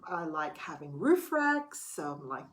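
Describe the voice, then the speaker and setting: A woman's voice: low-pitched, big pitch swings, a little slow. A middle-aged woman talking candidly to camera in a casual late-night vlog, chatty and a little theatrical. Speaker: vlogger.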